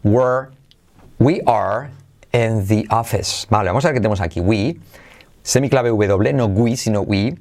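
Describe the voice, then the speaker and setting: A man leads a lively pronunciation lesson. He says phrases slowly, repeats them again and again, and throws in playful asides between drills. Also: fairly low pitch. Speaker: English teacher.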